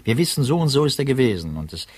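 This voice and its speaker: An actor playing a thoughtful, humane public prosecutor in a 1950s German radio drama, speaking in a measured, slightly husky voice. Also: male voice, mid-range voice, very animated. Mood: reflective, calm. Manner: measured, reflective.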